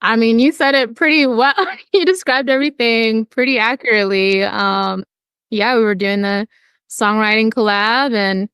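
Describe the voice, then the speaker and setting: A woman's voice: on the high side, normal speed, fairly bright tone, clean tone, dry recording. A young woman from the Philippines, a singer-songwriter guest on a podcast, chatting casually and laughing often.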